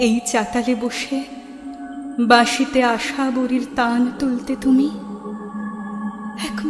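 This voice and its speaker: An actress playing a reborn temple dancer who speaks tenderly and solemnly in a horror radio play. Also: female voice, high-pitched, a little mellow, long reverb tail.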